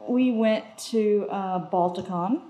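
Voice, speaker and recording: A woman's voice: medium pitch, slow pace, mellow. A woman co-hosting a podcast, chatting casually and informally. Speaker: podcast co-host. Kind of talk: casual conversational podcast chat.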